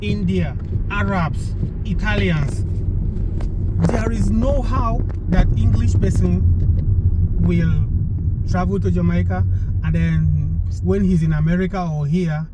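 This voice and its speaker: Man, 40s, high voice, reflective in-car talk, loud road rumble. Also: takes it slowly, pauses often. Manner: lecturing, reflective. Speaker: vlogger. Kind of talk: casual chat. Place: driving a car. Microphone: phone camera on the dashboard.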